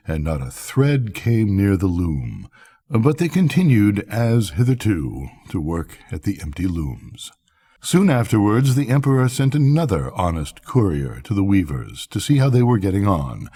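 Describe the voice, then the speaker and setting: A man's voice: fairly low pitch, warm tone, slightly rough. An older man reading a bedtime story expressively, as a storyteller.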